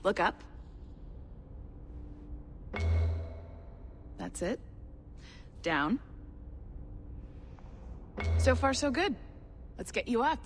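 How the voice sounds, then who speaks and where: Lively, encouraging corporate supervisor. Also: female voice, fairly high pitch, takes it slowly, slight background noise, heavily acted. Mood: encouraging, brisk. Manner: encouraging, breezy, professional. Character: brisk, upbeat corporate project supervisor guiding a recruit. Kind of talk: video game cutscene acting.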